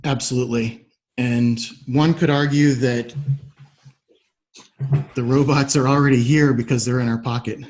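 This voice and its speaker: A man's voice: mid-range voice, fairly even intonation, normal speed, long pauses, darkish tone. Man hosting a conversational podcast. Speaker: podcast host. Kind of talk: conversational interview.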